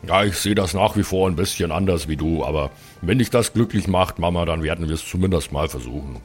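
A man playing a dutiful, studious, resigned adventurer in an improvised audio play, reasoning earnestly with pauses. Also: low voice, soft background music, close to an everyday voice.

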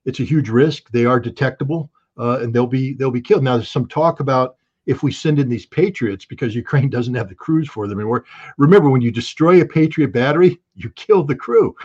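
A man's voice: medium pitch, fairly quick, darkish tone, husky, dull audio. A man giving blunt, emphatic and scornful political commentary as an interview guest.

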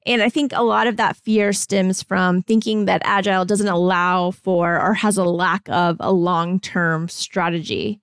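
A woman's voice: on the low side, steady tone, unhurried, few pauses, smooth, no echo, clean studio sound. A woman hosting an interview, asking engaged and curious questions. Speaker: podcast host. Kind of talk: interview conversation.

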